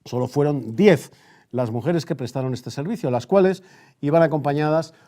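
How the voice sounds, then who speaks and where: A man with an accent from Spain presenting a news bulletin like a 1930s radio newscast, halting and repeating phrases for emphasis. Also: on the high side, dark tone.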